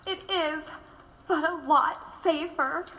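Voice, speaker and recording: Lady doing a tired cellmate, super high bright voice, slow. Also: steady tone, full character voice. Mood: weary. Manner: weary, matter-of-fact. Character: weary female jail cellmate giving advice. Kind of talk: audio drama acting.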